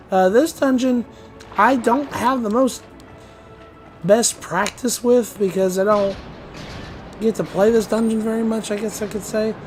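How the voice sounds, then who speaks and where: Slightly hoarse man rambling over gameplay. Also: high voice. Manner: rambling, loose, with many fillers and restarts. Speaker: let's play commentator. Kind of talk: casual gameplay commentary.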